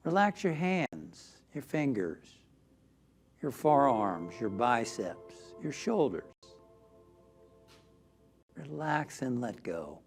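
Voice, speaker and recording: Slow-paced man guiding a meditation. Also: medium pitch, big pitch swings, creaky, breathy edge, faint music behind. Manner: gentle, soothing, drifting into near-whispers. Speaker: minister leading guided meditation. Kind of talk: guided meditation.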